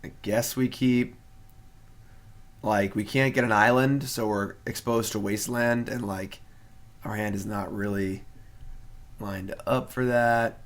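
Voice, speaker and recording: A man's voice: lowish voice, fairly even intonation, measured pace, pauses often. A young man streaming a card game and chatting casually, laid-back and wry, with lots of fillers. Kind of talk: casual live-stream commentary.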